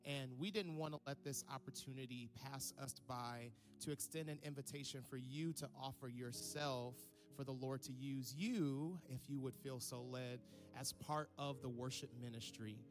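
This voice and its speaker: A man's voice: mid-range voice, fairly even intonation, normal speed, hardly any pauses, music in the background. A man serving as worship pastor, speaking to the church in an upbeat, conversational way and asking for the congregation's response. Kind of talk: church announcement.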